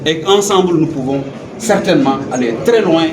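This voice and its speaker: A man's voice: a little high, animated, normal speed, crowd noise. A man with a Senegalese accent giving a formal press statement as an agency director, emphatic and rhetorical, repeating phrases for weight. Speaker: director general of a local development agency. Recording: roomy, distant mic, clearly noisy surroundings.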